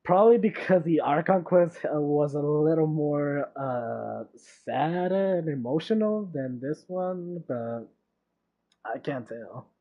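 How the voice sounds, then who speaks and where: A game streamer giving casual reaction commentary in a halting way, often repeating words. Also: mid-range voice, animated. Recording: dull lo-fi mic. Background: game music.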